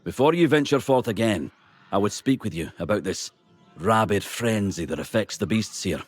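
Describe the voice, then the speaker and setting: A male actor playing an earnest, worried nature healer in a fantasy game, speaking formally and with measured care. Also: fairly low pitch, lively intonation, breathy edge, faint music behind. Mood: earnest, concerned. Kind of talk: scripted fantasy game dialogue.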